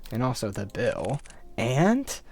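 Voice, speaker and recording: A man's voice: medium pitch, very animated, takes it slowly, a little mellow, husky, exaggerated voice. A man voicing an earnest, sheepish and grumbling male private investigator in a visual novel.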